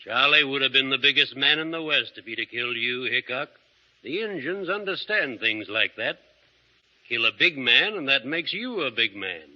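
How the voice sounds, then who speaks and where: A sly, cold-blooded frontier villain who taunts with quiet menace. His tone is sharp and very bright. The sound is muffled, like a 1930s broadcast. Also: medium pitch, expressive, moderate pace. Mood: taunting, menacing. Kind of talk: 1930s radio drama acting.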